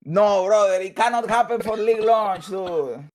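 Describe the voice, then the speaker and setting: A man in his mid-thirties joins over a video call as a guest. He talks in an enthusiastic, friendly way and keeps calling people dude and brother. His voice sits notably high for a man. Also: normal speed, a little mellow. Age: 30s.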